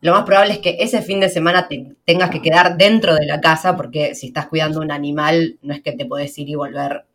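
Fast-talking Argentine woman podcast host. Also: deep voice, fairly even intonation, fairly bright tone. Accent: Argentine Spanish. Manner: fast, slangy, chatty with laughs. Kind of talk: casual podcast chat.

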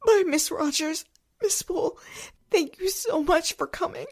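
A woman's voice: very high-pitched, measured pace. An actress playing a fragile, devoted wife in despair, her voice tearful and breaking, in 1940s-style radio melodrama. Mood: despairing, loving. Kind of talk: 1940s radio drama acting.

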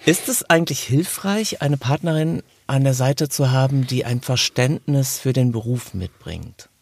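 A man's voice: medium pitch, unhurried, vocal fry. A man interviewing a guest, putting polite, prepared questions to him.

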